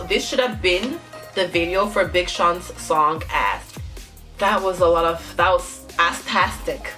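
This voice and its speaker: Young woman, slight Nigerian accent, bright sharp voice, giggly exclamations, faint music behind, bedroom vlog. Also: mid-range voice. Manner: giggly, exclamatory, animated commentary with frequent laughs. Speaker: YouTube reactor. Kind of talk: music video reaction. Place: small bedroom, indoors.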